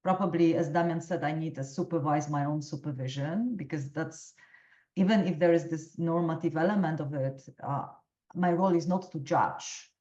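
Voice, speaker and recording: Woman supervisor, very low voice, roomy lo-fi sound, hesitant. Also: moderate pace, a little mellow. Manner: hesitant, thinking aloud with restarts. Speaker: coaching supervisor in discussion. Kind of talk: reflective discussion.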